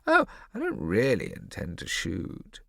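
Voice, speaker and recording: A man's voice: mid-range voice, wildly swooping pitch, a little slow, creaky, pushed and bright, no room sound, full character voice. A man voicing a grown woman who is a dry, unflappable homeowner, speaking in a cool, mock-polite way. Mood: wry, composed.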